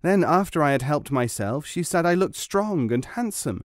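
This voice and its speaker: A man reading a story, voicing an earnest young man who pleads and explains, a little higher than his narrating voice. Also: expressive, moderate pace, no room sound, studio quality, natural voice. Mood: explaining.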